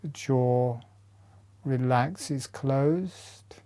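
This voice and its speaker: A man giving guided breathing and meditation instruction, calm and deliberate, speaking in short phrases with long pauses. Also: medium pitch.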